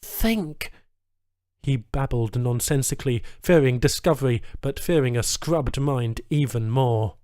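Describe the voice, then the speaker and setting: A man narrating a science fiction story in a deliberate, suspenseful, dramatic reading style. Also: mid-range voice, warm tone, smooth, no echo, clean studio sound. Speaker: audiobook narrator.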